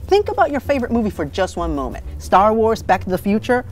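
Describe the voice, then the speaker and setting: Male video reviewer, sarcastic comedic commentary, high voice, swooping intonation, music underneath. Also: fast talker. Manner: animated, sarcastic. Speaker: video reviewer, show host.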